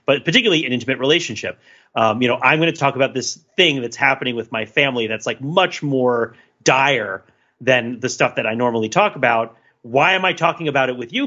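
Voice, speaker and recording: A man's voice: mid-range voice, sharp tone, highs cut off. A man co-hosting a podcast in casual discussion, talking in rambling runs with many restarts and fillers. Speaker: podcast co-host.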